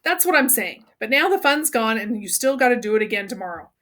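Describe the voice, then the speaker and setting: A woman's voice: a little high, sing-song, a little fast, a bit bright. A woman voicing a cocky, streetwise teenage boy in a storybook, knowing and pushy. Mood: teasing, insistent.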